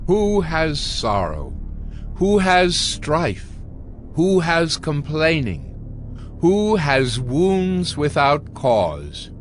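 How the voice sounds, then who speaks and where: Slow, expressive man reading scripture. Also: a little high, soft background music. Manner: solemn, admonishing. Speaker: scripture narrator. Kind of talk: dramatized scripted audiobook reading.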